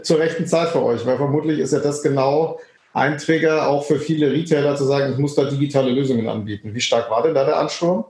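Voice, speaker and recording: A man's voice: medium pitch, fast talker, darkish tone. A man hosting a podcast and putting casual questions to a guest. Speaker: podcast host. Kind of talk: podcast interview. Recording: roomy echo.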